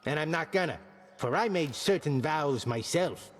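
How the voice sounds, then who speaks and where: An actor playing a gruff, joking male skeleton commander who trains fighters and mixes lofty old words with slang, delivered deadpan. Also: a little high, moderate pace, in a large hall, faint music behind, close to an everyday voice. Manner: deadpan jokes mixing archaic and slang words. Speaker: combat trainer.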